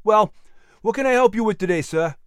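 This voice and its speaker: Guy doing a keen young bookshop lad, polite n friendly, swoopy highish voice, storybook. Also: lively intonation, a little fast, clear, resonant tone, dry recording, studio quality. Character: eager, friendly young male bookseller of colonial Boston.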